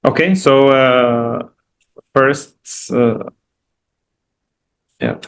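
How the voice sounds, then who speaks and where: A man's voice: medium pitch, drawn-out words. Man lecturing in Egyptian-accented English. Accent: Egyptian Arabic. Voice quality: non-native English phrasing. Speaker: professor giving a guest lecture. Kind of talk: informal academic presentation with slides. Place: online video call.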